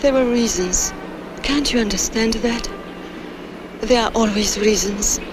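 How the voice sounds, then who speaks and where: A woman's voice: a little high, measured pace, music in the background, natural voice. A middle-aged actress playing a desperate wife who pleads urgently and defiantly to save her husband. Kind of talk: 1960s TV crime drama acting. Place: outdoors in a city alley.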